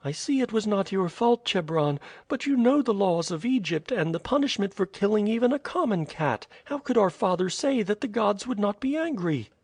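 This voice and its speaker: Storybook girl, young and fearful, high and pleading; a man reads her. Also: lively intonation, moderate pace, hardly any pauses, a little mellow, husky, no room sound, full character voice. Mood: troubled. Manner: pleading, emotional. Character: young noble girl, frightened and tender. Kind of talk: audiobook reading.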